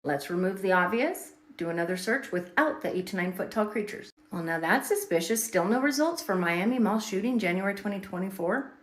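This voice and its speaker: A woman's voice: mid-range voice, lively intonation, brisk, hardly any pauses. A woman giving dramatic storytelling commentary, emphatic and repeating words for effect. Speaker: online video commentator.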